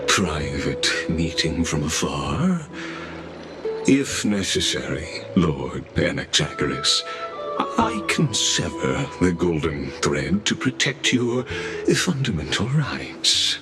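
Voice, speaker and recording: Courtly machine envoy, very low voice, slow ceremonious delivery, video game dialogue, loud music behind. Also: male voice, sing-song, full character voice. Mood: courteous, formal. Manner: ceremonious, polite, measured. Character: courtly male mechanical envoy of a council, formal, deferential.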